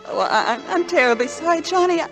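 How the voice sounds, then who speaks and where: A devoted young American wife in an old radio play. Her voice sits high, polite and sweet with a touch of anxiety. Faint music runs under the vintage broadcast. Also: animated, fast. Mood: tender. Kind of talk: 1940s American radio drama acting.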